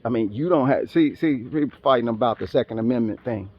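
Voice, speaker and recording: A man's voice: mid-range voice, fairly quick, slightly rough, muffled recording. A man giving a rambling casual monologue outdoors, full of street slang and asides. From Philippines heritage, raised elsewhere. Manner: rambling, streetwise slang, talks back to animals. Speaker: vlogger.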